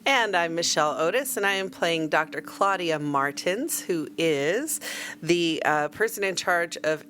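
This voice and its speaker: A woman's voice: lowish voice, expressive, measured pace, fairly bright tone. A woman who plays in a tabletop game, speaking in a casual podcast style.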